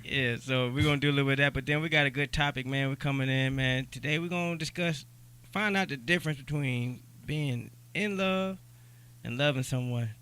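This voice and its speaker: A man's voice: medium pitch, brisk, fairly bright tone, clear, resonant tone. An American man hosting a podcast in a casual chat style, with slangy, informal talk aimed straight at the listeners. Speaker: podcast host.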